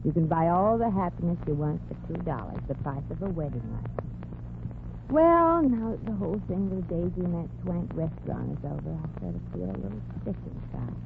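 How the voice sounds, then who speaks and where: A brassy young showgirl, trading slangy wisecracks with a lively, swooping voice. It comes through a thin, tinny old radio broadcast with clear hiss underneath. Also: on the low side. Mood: wistful narration. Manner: sassy, slangy, quick wisecracks. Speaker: lead actress. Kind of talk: 1940s radio comedy acting.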